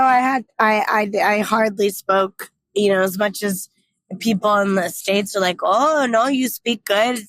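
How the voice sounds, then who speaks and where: A woman's voice: mid-range voice, a bit bright, low-quality recording. A young woman from California telling a travel story in a casual, reflective way, full of "like"s and restarts. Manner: chatty, reflective, many "like"s and restarts. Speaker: travel vlogger.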